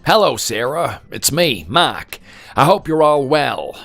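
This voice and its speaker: A man plays a courteous village neighbour in an audio drama. His delivery is matter-of-fact and mid-pitched, with faint music underneath. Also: very animated, slow pace, vocal fry, a bit bright, exaggerated voice. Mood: polite concern. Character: apologetic male villager phoning with bad news. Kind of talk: dramatic dialogue.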